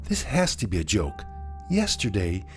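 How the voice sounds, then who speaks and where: A man narrating a scripted suspense story, dramatically, with deliberate pauses. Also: fairly low pitch, huge pitch swings. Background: music.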